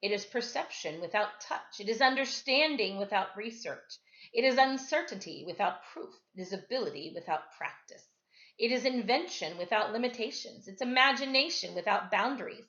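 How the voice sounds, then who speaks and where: No-nonsense storybook woman teacher, read by a woman, forceful and brusque, in an echoey room. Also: mid-range voice, animated, moderate pace, lightly acted. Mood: stern, declarative. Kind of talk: children's storybook read-aloud. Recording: echoey, slightly dull home recording.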